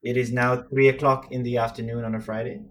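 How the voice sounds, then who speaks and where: A man's voice: medium pitch, flat delivery, fast, slight background noise. Easygoing man co-hosting a podcast by call. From Sri Lanka. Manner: laughing, easygoing, rambling. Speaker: podcast co-host. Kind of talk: casual podcast chat over a call. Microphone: remote call.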